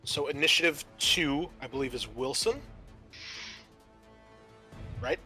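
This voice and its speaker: Bright-voiced man running a tabletop game. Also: medium pitch, slow. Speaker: game master. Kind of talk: running a tabletop roleplaying game, explaining rules. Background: background music.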